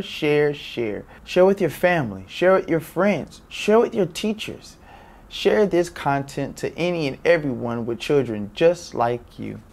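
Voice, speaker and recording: A man in his thirties hosts a read-aloud for young children. His medium-pitched voice swings up and down in an animated, upbeat way, with a smile in it. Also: gravelly. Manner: expressive, upbeat, smiling delivery. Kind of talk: presenting a story to young children. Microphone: lapel mic.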